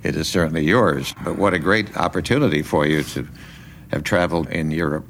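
A man with a very deep voice acts as the interviewer. He speaks conversationally, putting questions and short remarks to his guest as in an oral history. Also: fairly quick, fairly bright tone, slight background noise.

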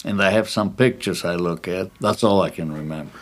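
Older man, 80s, from Poland; low voice; measured, reflective oral-history interviewee. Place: his home.

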